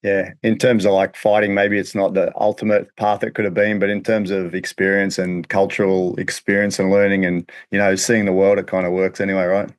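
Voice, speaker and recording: A man's voice: sits low, little pitch movement, fairly quick, few pauses. A man interviewing in a casual, matey, slangy way, like a chat between friends.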